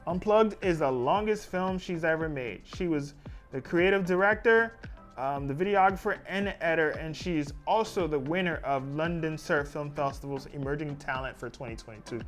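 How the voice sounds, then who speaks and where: A man hosting a festival rundown, reading each film's blurb aloud and stumbling now and then on filler words. His voice sits a little high for a man, and soft music plays quietly underneath. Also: moderate pace. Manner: reads blurbs with frequent "uh" fillers, apologizes for pronouncing names. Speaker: festival host presenting film submissions. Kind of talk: reading film synopses aloud, thanking filmmakers.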